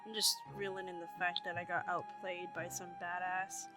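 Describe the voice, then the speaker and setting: A woman's voice: fairly low pitch, little pitch movement, moderate pace, close to an everyday voice. Woman playing an awestruck young convention-goer, music behind. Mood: dazed admiration. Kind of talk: fan comic dub dialogue.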